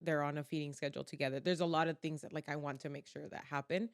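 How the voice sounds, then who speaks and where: A young woman with a slightly low voice talks as a podcast co-host. She is candid and informal, swears casually, and is recorded close and dry. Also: fairly even intonation, fast talker, dry recording.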